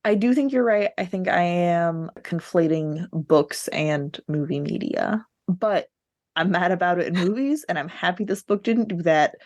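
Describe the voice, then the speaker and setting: A woman's voice: on the low side, unhurried, a little mellow, no room sound. A woman co-hosting a podcast, talking casually and bluntly about books with strong opinions. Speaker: podcast co-host. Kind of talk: casual book discussion.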